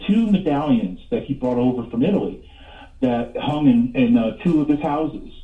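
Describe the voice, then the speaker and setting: A man in his fifties telling stories as an interview guest in a halting, rambling way, repeating words as he goes. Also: mid-range voice, animated. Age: 50s. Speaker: radio show guest, paranormal investigator. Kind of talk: casual interview storytelling. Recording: tinny phone line, echoey, distant.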